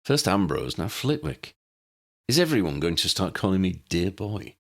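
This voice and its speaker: A man's voice: deep voice, very animated, normal speed, gravelly, fairly bright tone, heavily acted. A man voicing an earnest, decisive male head of wizard police, direct, polite and slightly irritable, in an audiobook story. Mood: irritated.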